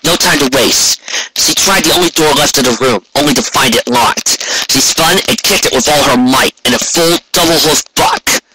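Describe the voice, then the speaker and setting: A man's voice: a little high, moderate pace, sharp tone, slight background noise, poor audio. A man reading a scripted fantasy story aloud as its narrator. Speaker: fan fiction narrator.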